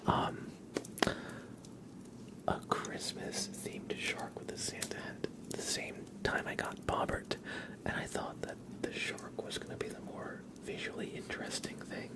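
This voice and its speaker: An ASMR livestream host who whispers nearly everything, soft and unhurried. The talk rambles along casually at a very slow pace, like an intimate chat with viewers. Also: low-quality recording.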